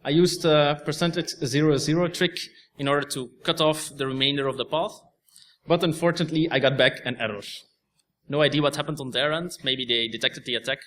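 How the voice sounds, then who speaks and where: Bright-voiced Belgian man explaining. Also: a little high, steady tone, a little fast. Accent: Belgian Dutch. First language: Dutch. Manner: explains step by step, with frequent restarts and ums. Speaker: conference speaker. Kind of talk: technical talk.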